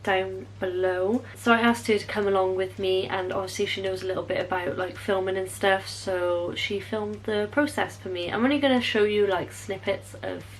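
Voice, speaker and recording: A woman's voice: medium pitch, fairly even intonation, normal speed, hardly any pauses, slight background noise. A young woman, chatting to camera in a casual vlog, bubbly and rambling, correcting herself as she goes. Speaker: beauty vlogger.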